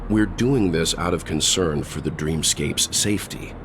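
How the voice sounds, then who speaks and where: An actor playing a courteous but probing male crew elder who speaks directly, recorded as a game dub over a loud score. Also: sits low, a little slow, background noise.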